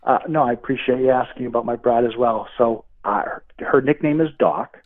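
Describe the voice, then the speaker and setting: A middle-aged man with a Boston accent talks earnestly and warmly of faith as a guest. His voice is a little husky and comes through a tinny phone line. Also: mid-range voice, fairly quick. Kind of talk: interview over the phone.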